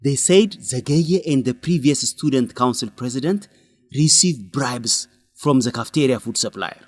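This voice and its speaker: Man playing an animated, indignant young student reporter. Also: medium pitch, moderate pace, no echo, natural voice. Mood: urgent, serious. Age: young adult. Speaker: actor in an educational radio drama. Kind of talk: radio drama dialogue.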